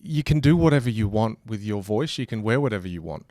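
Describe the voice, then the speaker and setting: Aussie guy w a bit of a british accent, super lively up and down voice, dry close sound. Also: on the low side, quick pace, dry recording. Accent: Australian, leaning British. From Australia. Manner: animated, acts out other voices. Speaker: podcast guest, communication coach. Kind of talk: casual conversation.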